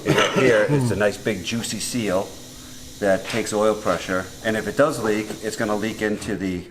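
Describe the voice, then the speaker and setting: A man's voice: lowish voice, steady tone, moderate pace, background noise. Massachusetts man explaining engine parts. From Massachusetts, USA. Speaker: guest mechanic. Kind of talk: casual workshop chat. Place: motorcycle workshop.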